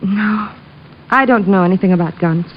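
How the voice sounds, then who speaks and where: A woman's voice: mid-range voice, moderate pace, close to an everyday voice. A woman playing a composed, coy wife of a murder suspect in 1950s radio drama style. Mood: guarded. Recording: muffled, noisy old radio recording.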